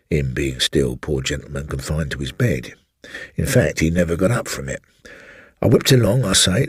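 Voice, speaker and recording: A man reading a first-person ghost story for radio in a confiding, measured way, with old-fashioned country turns of phrase and asides to a listener as "sir". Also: low-pitched, vocal fry, husky, no echo, studio quality.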